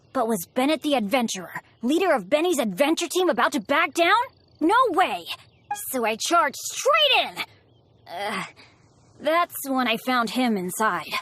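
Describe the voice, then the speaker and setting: A woman voicing an earnest, excitable teenage boy adventurer in a video game, boastful yet kind, with a boyishly dramatic delivery. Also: high voice, normal speed, slight background noise. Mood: boastful storytelling.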